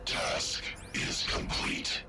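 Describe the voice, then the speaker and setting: An actor playing a cold, commanding ancient machine intelligence in a video game, speaking in terse pronouncements. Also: male voice, extremely slow. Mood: imperious. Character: ancient menacing machine intelligence revered as shadow god. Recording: loud music behind the voice.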